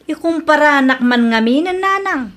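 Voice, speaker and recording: A woman playing a grown daughter with an Ilocano accent in an audio drama, speaking softly and earnestly. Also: high voice, lively intonation, normal speed. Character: grown daughter, once scornful now humbled. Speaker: daughter Brenda.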